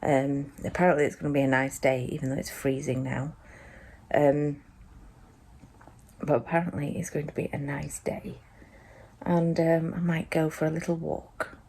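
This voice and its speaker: Woman, croaky morning voice, low-pitched, rambling casual chat, faint background hiss, sunroom at home. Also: level tone, moderate pace, mellow. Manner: rambling and chatty, many ums. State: croaky, just woken up. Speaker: vlogger, mother.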